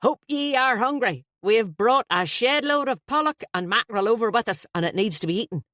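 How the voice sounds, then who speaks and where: Woman voicing a hearty, loud Irish best man. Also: fairly high pitch, lively intonation, brisk, no echo, studio quality, voice pushed up. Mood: friendly. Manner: hearty, slangy, emphatic. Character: big jovial Irish best man, chatty and superstitious.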